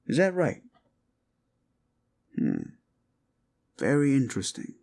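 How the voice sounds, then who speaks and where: A man acts out a folksy older male detective in an intimate audio roleplay. He speaks slowly, with a pitch that rises and dips freely. He rambles and seems absent-minded, yet stays politely, slyly probing. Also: wildly swooping pitch, vocal fry, a little mellow, full character voice. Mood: musing, speculative. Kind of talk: roleplay ASMR audio drama.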